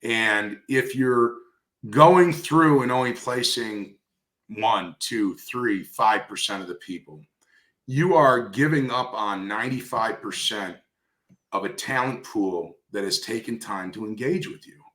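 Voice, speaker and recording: A man's voice: lowish voice, unhurried, a bit bright. A man speaking conversationally in an industry interview, coaching emphatically and repeating key phrases for stress. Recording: roomy, echoey sound.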